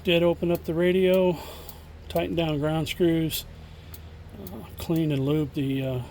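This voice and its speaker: A man with a gravelly, creaky voice walks through a casual product demo. He talks plainly and haltingly, without a script, as someone selling used gear. The phone recording carries faint background noise. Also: on the high side, fairly even intonation, darkish tone. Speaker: seller demonstrating a used CB radio.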